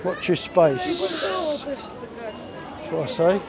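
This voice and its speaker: High-voiced Cockney man strolling. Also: slow pace, noisy background. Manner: chatty, thinking aloud as he strolls. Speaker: vlogger. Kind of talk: walking tour commentary. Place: outdoor resort streets. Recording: busy street crowd behind.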